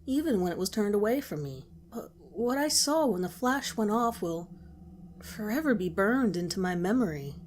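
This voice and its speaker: Slow, hushed woman narrating horror. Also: mid-range voice, a little hiss or hum. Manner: slow, hushed, at times whispering.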